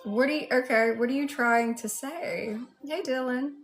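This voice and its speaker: A woman's voice: sits high, moderate pace, faint music behind. A woman with a Southern US accent hosting a casual livestream, chatting and addressing viewers one by one. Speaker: livestream host.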